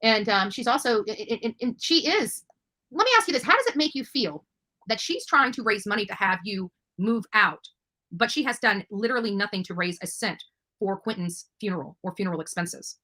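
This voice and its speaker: A woman's voice: mid-range voice, expressive, fairly quick, bright, forceful tone. A woman hosting an online interview in a chatty, emphatic and opinionated way. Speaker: interviewer and online show host. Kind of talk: chatty interview, reading out text messages.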